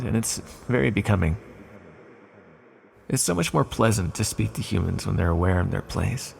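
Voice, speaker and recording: A man voicing an ancient, imprisoned villain in an ASMR roleplay, condescending yet lonely and flirtatious, with smug, articulate, softly teasing delivery. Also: exaggerated voice. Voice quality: deep, gravelly, husky. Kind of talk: ASMR roleplay monologue to the listener.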